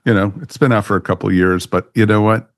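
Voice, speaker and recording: A man with a low voice hosts a casual podcast. He rambles enthusiastically like a devoted fan, and the sound is dry and close. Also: steady tone, fast talker, no room sound. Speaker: podcast host.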